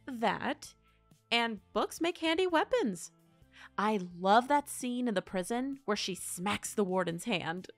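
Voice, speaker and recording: A woman's voice: fairly high pitch, animated, takes it slowly, soft background music. A woman playing a teasing, supportive friend in a naturalistic audio drama. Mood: playful. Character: playful, supportive girlfriend and friend in costume.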